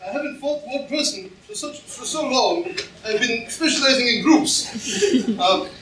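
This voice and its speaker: A man's voice: extremely high pitch, lively intonation, unhurried. A man playing a huge, good-natured, simple-minded strongman in an amateur staged comedy reading. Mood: puzzled, chatty. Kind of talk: amateur staged reading of a comic fantasy play. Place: on stage in a hall, audience camera. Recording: echoey distant stage recording, noisy.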